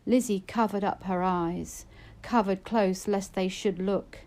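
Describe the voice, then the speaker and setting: A woman recites narrative verse at a slow pace. Her delivery is expressive and measured, like storytelling, in a mid-range female pitch. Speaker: poetry reader.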